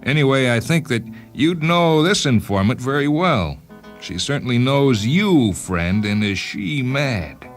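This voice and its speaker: A man's voice: medium pitch, expressive, unhurried, faint music behind, voice pushed up, natural voice. A man voicing a mocking, streetwise 1930s New York informer with slangy tough-guy talk in a radio story. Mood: mocking, taunting.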